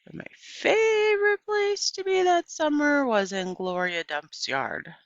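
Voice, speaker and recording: A woman reading a children's novel aloud as an audiobook narrator, in an animated storytelling way. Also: high voice, unhurried, dry recording, dull audio. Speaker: audiobook reader.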